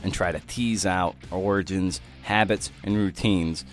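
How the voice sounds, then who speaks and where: Low-pitched man hosting a podcast. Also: fairly even intonation, a little slow, soft background music. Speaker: podcast host and interviewer. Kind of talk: scripted intro narration and casual interview.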